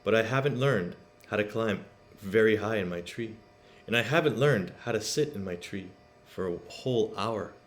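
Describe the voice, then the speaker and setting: A man's voice: lowish voice, normal speed, close to an everyday voice. A man reading the part of a polite, plain-spoken schoolgirl in a children's storybook. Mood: frank.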